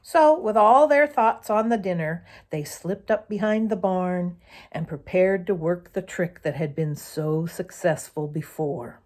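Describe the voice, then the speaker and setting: A woman narrates a children's story at an unhurried pace. Her voice sits slightly low, and she tells the tale expressively, placing deliberate emphasis on phrases. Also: a little mellow. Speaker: storyteller reading a children's book aloud.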